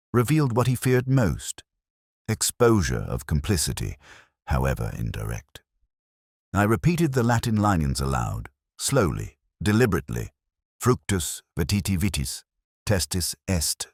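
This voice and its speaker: A man with an extremely low voice narrates a detective mystery in the first person. His delivery is solemn and measured, with lively rises and falls in pitch. The sound is close and dry. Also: breathy edge, dry recording. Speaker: narrator of an audio mystery story.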